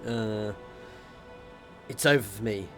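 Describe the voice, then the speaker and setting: A man with a slightly hoarse voice reading aloud the lines of a desperate male peasant rebel prisoner, in a hushed, pleading way. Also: fairly low pitch, takes it slowly, faint music behind.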